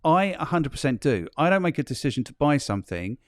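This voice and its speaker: A man's voice: on the high side, sing-song, quick pace, no room sound, studio quality. A man hosting a marketing podcast, who speaks in an earnest way and thinks aloud. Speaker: podcast host. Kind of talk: conversational interview.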